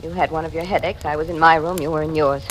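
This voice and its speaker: An actress playing a guarded, resentful grown daughter in a 1940s radio drama, speaking in a curt, defensive manner. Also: female voice, sits low, steady tone, quick pace, slight background noise, close to an everyday voice. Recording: vintage radio recording with music.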